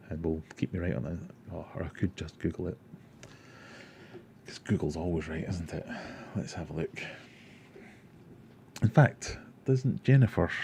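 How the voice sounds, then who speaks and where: A man's voice: deep voice, gravelly, dark tone, slight background noise. A Scottish man from Glasgow hosting an aquarium livestream, casually answering chat questions and rambling with self-deprecating asides. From Glasgow, Scotland.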